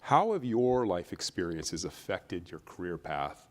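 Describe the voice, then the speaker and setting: A man's voice: deep voice, big pitch swings, unhurried, darkish tone. A man moderating a lecture question session, giving polite and formal instructions to the audience.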